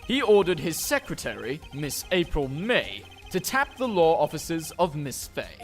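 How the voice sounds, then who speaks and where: A man's voice: on the high side, big pitch swings, a little slow, faint music behind. A man voicing a smug, pompous male prosecutor with formal, theatrical delivery in a fan-dubbed courtroom game. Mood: pompous, explaining.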